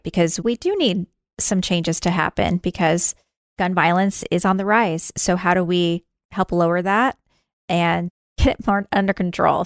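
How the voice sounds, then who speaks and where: A woman's voice: on the low side, no room sound, studio quality. A woman hosting a podcast in an informal, chatty and self-deprecating way. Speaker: podcast host. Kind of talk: chatty podcast intro and interview.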